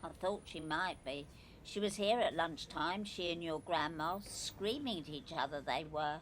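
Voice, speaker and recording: Low-voiced, blunt girlfriend played by a woman. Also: normal speed, slight background noise, close to an everyday voice. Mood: impatient. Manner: blunt, demanding. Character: pushy, teasing, blunt young girlfriend. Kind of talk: audio play acting.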